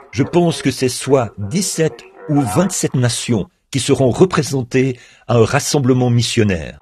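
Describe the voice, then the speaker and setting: A man reads a translated sermon aloud at a middle pitch, measured and earnest in the manner of a preacher. His voice-over sits on top of the original congregation recording, whose crowd sounds come through clearly behind him. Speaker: French reader of a translated sermon.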